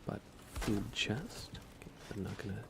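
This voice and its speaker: A man softly thinking aloud in an unhurried, whispered ASMR style as he narrates a video game livestream. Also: lowish voice, animated, vocal fry, background noise. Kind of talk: ASMR gaming commentary, whispered and soft-spoken.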